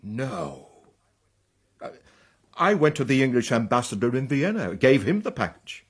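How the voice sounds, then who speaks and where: An actor playing a sentimental, gallant old military Count with a husky voice in a period radio drama. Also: male voice, mid-range voice, sing-song, measured pace. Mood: troubled. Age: older.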